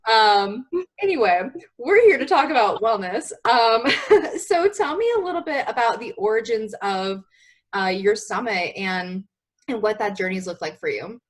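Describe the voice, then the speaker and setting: A woman hosting an interview, chatty and quick to laugh. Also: medium pitch, expressive. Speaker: podcast host. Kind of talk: casual interview chat.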